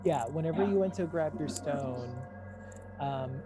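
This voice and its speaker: A game master improvising tabletop narration in a halting, conversational way, speaking to the players directly. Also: medium pitch. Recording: music playing behind the voice. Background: music.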